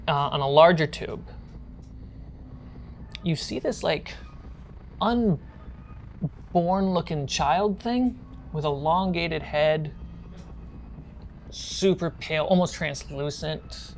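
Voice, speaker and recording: A woman game master with a low voice narrates a tabletop horror game. She takes her time and pauses between phrases. There is a light noise in the room behind her.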